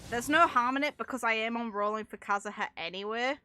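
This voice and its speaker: A woman game streamer giving casual, chatty gameplay commentary, thinking aloud in an excitable way. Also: a little high, fast talker, slight background noise.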